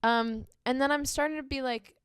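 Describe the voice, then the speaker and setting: A woman co-hosting a casual podcast, telling a first date story in a chatty, animated way with lots of fillers. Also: high-pitched, normal speed, clean tone, no echo, clean studio sound. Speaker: podcast co-host.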